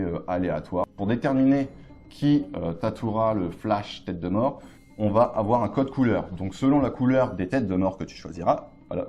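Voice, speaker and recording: A man hosting a casual tattoo game show, joking and laughing often as he talks. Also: on the low side. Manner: jokey, laughs often, relaxed.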